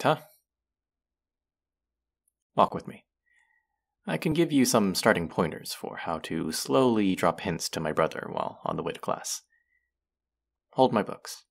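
A man's voice: sits low, creaky, a bit bright, heavily acted. A young man acting a condescending, smug college student in a scripted audio roleplay, haughty and sardonic with a drawl. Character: condescending, smug young male college rich-club hopeful. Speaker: voice actor.

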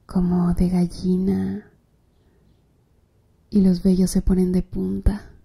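A woman's voice: on the low side, completely flat delivery, takes it slowly, vocal fry, warm tone, husky, full character voice. A woman playing a flirtatious, teasing female tattoo artist in an ASMR roleplay, murmuring breathily and seductively to the listener. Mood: seductive, intimate. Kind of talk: Spanish-language ASMR roleplay.

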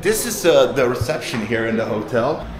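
A man from the Netherlands vlogging his trip and chatting with the driver in a taxi, asking questions and filling his pauses with "uh". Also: medium pitch, sing-song, crowd noise. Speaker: travel vlogger. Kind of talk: casual vlog chat. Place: inside a taxi in a city. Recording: loud traffic noise from a car.

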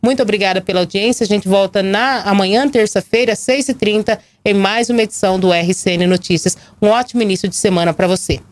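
A Brazilian woman in her thirties presenting live local news, her voice hoarse from a cold. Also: mid-range voice, steady tone, fast talker, hardly any pauses. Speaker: TV and radio news anchor. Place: small indoor news studio. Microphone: gooseneck desk microphone.

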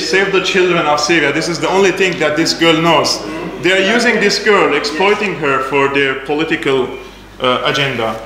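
A man's voice: fairly high pitch, pushed and bright, a little hiss or hum. A man in his thirties giving a slide talk in a small attic lecture room, speaking with fillers and slightly non-native phrasing. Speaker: presenter. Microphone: far camera, no mic seen. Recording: echoey room from a distance.

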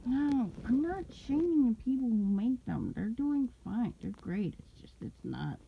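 A woman playing a sleepy, pouty young streamer roommate in an intimate ASMR roleplay, mumbling drowsily with drawn-out words and affection under the grumbling. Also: on the high side, big pitch swings, vocal fry, phone-quality sound, exaggerated voice. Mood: reluctant, pouty. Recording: close recording with background rustling noise. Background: fabric rustling.

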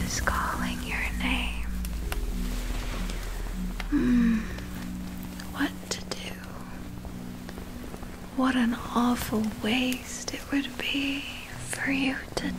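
Woman acting a very slow whispering vampire. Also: medium pitch, rough recording. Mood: possessive, musing. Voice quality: breathy unvoiced whisper. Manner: slow, drawn-out, hypnotic delivery. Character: predatory vampire captor, coolly possessive, menacing yet tender. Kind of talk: ASMR roleplay.

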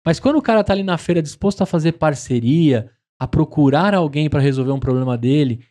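A man's voice: a little high, lively intonation, a little fast, dark tone. A man in his thirties hosting a business podcast in a studio, talking with enthusiastic, promotional energy. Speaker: podcast host. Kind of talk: casual business podcast chat. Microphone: studio mic on boom arm, headphones.

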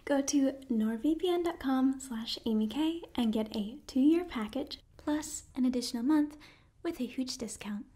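A woman in her twenties whispering close to the microphone in a slow, hushed ASMR style, softly repeating words. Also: sits high. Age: 20s. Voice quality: whispery, breathy. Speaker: ASMR host. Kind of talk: ASMR role-play and sponsor read.

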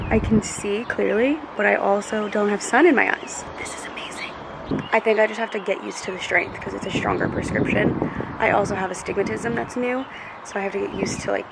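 A young woman talks straight to the camera in an upbeat, chatty way, full of "like" and quick asides. Her voice has a gravelly, fry-tinged edge. Faint room ambience sits behind her, since the camera is on a kitchen table or held at arm's length. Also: mid-range voice, noisy background. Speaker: lifestyle vlogger. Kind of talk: casual vlog talking to camera. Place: home kitchen indoors, then outdoors in a backyard. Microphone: camera on a desk, then handheld at arm's length.

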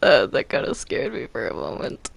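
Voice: medium pitch, wildly swooping pitch, moderate pace, gravelly. A gamer chatting casually and playfully during gameplay, often giggly and teasing.

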